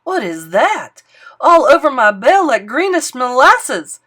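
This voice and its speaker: Old bell ringer, played by a woman, flustered, startled, fussing, loud exclamations. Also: medium pitch, sing-song, normal speed.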